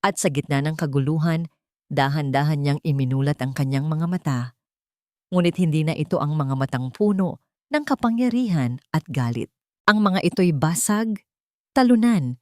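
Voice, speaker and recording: A woman narrates an audio drama in a fairly low voice, close and dry. Her delivery is solemn and emotive, with deliberate pauses for dramatic weight. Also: a little mellow, no echo. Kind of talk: dramatic scripted storytelling narration.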